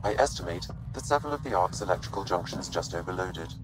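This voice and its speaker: An actor playing a calm ship artificial intelligence in a video game, speaking in measured, precise, formal statements. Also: male voice, low-pitched, rough recording.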